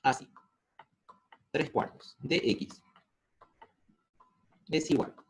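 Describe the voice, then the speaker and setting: A man's voice: on the high side, steady tone, vocal fry. A man with a Paraguayan Spanish accent teaching integrals step by step, asking the class questions and answering them himself. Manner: asks the class questions and answers them, slows down over formulas. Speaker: math teacher.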